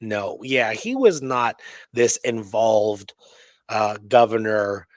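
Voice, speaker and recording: A man co-hosting a history talk show, discussing and reading out records in an emphatic, conversational way with halting asides. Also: on the low side, no room sound. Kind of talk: conversational history discussion with read-aloud documents.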